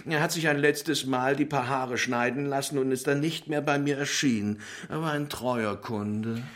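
An actor playing a quirky, chatty barber in a children's radio play, telling rambling, theatrical stories. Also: male voice, medium pitch, normal speed, a bit bright, close to an everyday voice. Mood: reminiscing. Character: chatty, quirky male barber and salesman of odds and ends.

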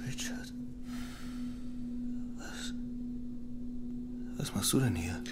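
An actor playing a frightened, desperate double agent in a radio crime drama, urgent and pleading. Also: male voice, extremely high pitch, huge pitch swings, very slow, very noisy, exaggerated voice. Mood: stunned. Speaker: narrator and lead character.

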